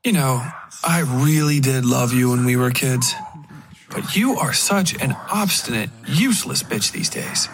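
A man voicing a menacing, contemptuous husband in a dark audio story, condescending, sneering and profane. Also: medium pitch, expressive, unhurried, a little hiss or hum. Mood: bitter contempt. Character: menacing, contemptuous, abusive husband with political ambitions. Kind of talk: dramatic audiobook character dialogue.

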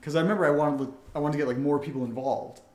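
A man's voice: fairly high pitch, racing, a little mellow. A man hosting a podcast Q&A in a casual, chatty style. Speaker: podcast host and voice actor. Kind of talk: casual chat, Q&A. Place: indoor room, live-streamed session. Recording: echoey room.

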